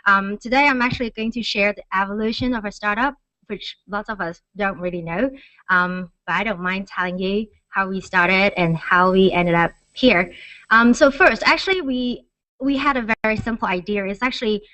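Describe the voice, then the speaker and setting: A woman's voice: medium pitch, a bit bright, dry recording. Woman presenting, slightly dull dry recording. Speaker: startup representative presenting at a conference. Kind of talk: informal conference presentation with slides. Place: conference talk. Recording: dull, lo-fi microphone sound.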